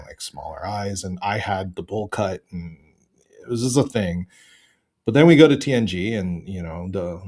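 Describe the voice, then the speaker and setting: A man speaking as a podcast guest in casual fan chat, rambling in an animated way and interrupting himself. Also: deep voice.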